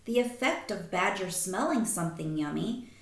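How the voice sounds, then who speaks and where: A woman reading a picture book aloud to children like a teacher, expressive and deliberate, pausing to explain. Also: mid-range voice. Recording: roomy echo.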